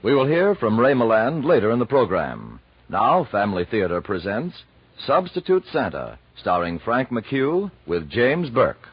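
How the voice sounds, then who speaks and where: A male announcer introduces a program in 1940s radio style. His medium-pitched voice sweeps widely. Soft music plays behind the muffled recording. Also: huge pitch swings, measured pace.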